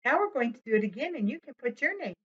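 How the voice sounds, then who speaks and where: A woman presenting a storytime for young children, talking to them directly in an animated way as she reads aloud. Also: fairly high pitch, quick pace, highs cut off, heavy background noise.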